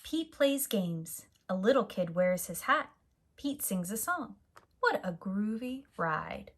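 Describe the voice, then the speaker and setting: A woman reading a children's picture book aloud as a teacher, in a deliberate, animated way for young listeners. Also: mid-range voice.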